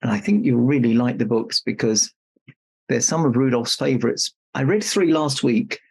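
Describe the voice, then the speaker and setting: A man's voice: medium pitch, moderate pace, vocal fry, a little mellow, slightly rough, voice pushed down. A man playing Santa Claus, a jolly old man reading a children's storybook aloud with jokey, mock-indignant asides. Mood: cheerful, chatty.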